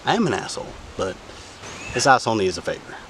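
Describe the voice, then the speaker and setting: A man's voice: lowish voice, very animated, fast, fairly bright tone, a little hiss or hum. An actor playing a brash, womanizing friend who talks in casual, slangy, foul-mouthed rambles in a film drama. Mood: sheepish, cajoling. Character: brash, womanizing male buddy asking a favor.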